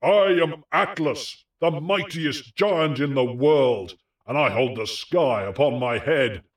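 Man voicing a distant, booming, immense giant. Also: animated, a little mellow, close to an everyday voice. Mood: grand boasting. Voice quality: big, deep, rough voice as scripted. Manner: roaring, grandly deliberate. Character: immense, weary, boastful male giant, hearty and booming.

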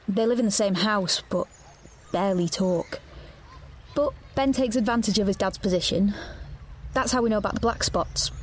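Woman acting teenage girl, mid-pitched, earnest, guarded, audio drama, light background ambience. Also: lightly acted. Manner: earnest, defensive. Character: guarded, conflicted teenage girl protagonist narrating her story. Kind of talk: audio drama dialogue and first-person narration. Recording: background noise, outdoor ambience.

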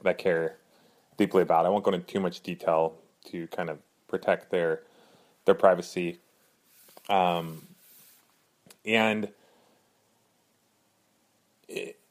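A man hosting his own podcast in earnest, rambling casual talk, a little hoarse from a cold. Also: sits low. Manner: earnest, rambling, frequent fillers and restarts. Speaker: podcast host.

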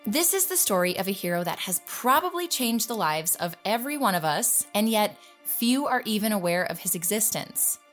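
A woman playing an earnest, intrigued journalist hosting an investigative audio-drama podcast, speaking deliberately. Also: medium pitch, sing-song, a bit bright, faint music behind. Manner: earnest, deliberate, with rhetorical questions. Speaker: podcast host. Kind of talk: scripted investigative podcast narration.

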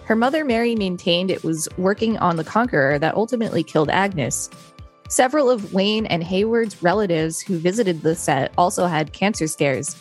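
Brief: female podcast co-host, lower pitch, dry skeptical reader, faint music bed. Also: animated, moderate pace. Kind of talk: reading aloud and casual chat.

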